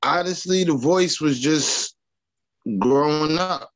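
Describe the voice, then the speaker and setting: A man's voice: fairly high pitch, slow pace. A man from Long Island, talking loosely as a rapper guest in an interview, full of casual fillers. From Long Island, New York. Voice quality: raspy. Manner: loose and chatty, full of "you know what I mean" fillers. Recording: dull lo-fi sound.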